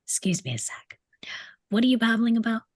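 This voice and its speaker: A woman's voice: a little high, very animated, brisk, dry recording. A woman playing a sarcastic, rebellious teenage boy in a script table read, with a mocking, sardonic delivery. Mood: scornful, taunting. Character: sarcastic rebellious male teenage troublemaker, taunting and cynical.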